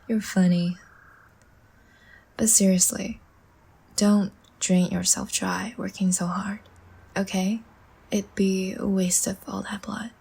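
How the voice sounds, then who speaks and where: Woman playing a languid, breathy, half-whispering vampire seductress. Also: medium pitch, flat delivery, full character voice. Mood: soothing, teasing. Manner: slow, soft, teasing and soothing. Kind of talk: intimate ASMR audio roleplay.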